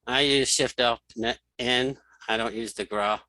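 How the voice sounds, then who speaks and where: Bright-voiced man teaching software casually. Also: medium pitch, fairly even intonation, unhurried, no echo, rough recording. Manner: informal, thinking aloud while demonstrating. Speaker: screen reader tutorial instructor. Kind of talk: casual spoken software walkthrough.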